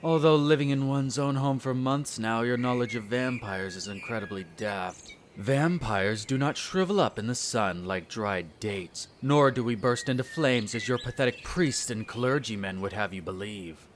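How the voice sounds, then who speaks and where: A man's voice: mid-range voice, normal speed, few pauses, slight background noise, lightly acted. A man playing a smug, menacing aristocratic vampire in a scripted audio roleplay, speaking formally with condescending disdain. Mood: haughty, dismissive. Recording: birdsong and nature sounds behind. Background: birdsong, garden nature ambience.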